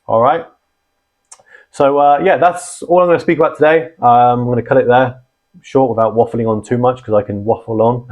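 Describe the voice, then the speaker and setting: A man hosting a casual explainer on radio gear, rambling and filling pauses with ums and restarts. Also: medium pitch, steady tone, darkish tone. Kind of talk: casual explanatory vlog talk. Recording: dull lo-fi sound.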